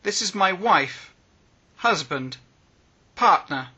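Brief: male phrasebook reader, very slow, high and lively, slightly husky. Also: muffled recording. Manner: reads each phrase slowly with pauses. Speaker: language teacher.

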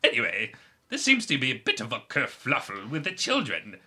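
A husky, bright-voiced snob in a comic audio drama. He has an affected posh accent and swoops theatrically through his pompous, aggrieved complaints. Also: medium pitch, wildly swooping pitch, brisk, heavily acted. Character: pompous, aggrieved, snobbish brother-in-law and father. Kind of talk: scripted comedy audio drama.

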